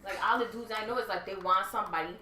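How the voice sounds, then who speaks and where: A young woman with a Caribbean accent, chatting casually on a podcast and telling animated stories. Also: medium pitch, echoey room, over a noisy crowd. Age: young adult. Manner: animated storytelling, quick and chatty. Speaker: podcast co-host. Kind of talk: casual chat.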